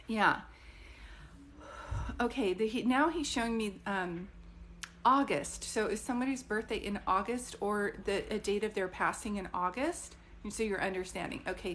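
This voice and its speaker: A woman's voice: mid-range voice, a bit bright. A middle-aged woman working as a psychic medium, chatting casually on a live stream, thinking aloud and often stopping to restart a word.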